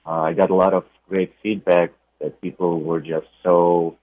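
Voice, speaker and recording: A young man with a Ukrainian accent, talking about his music in a relaxed radio interview, pausing often with "uh". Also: deep voice, dry recording. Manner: unhurried, with frequent uh pauses. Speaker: DJ and radio guest. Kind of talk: interview conversation. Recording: tinny phone-like line.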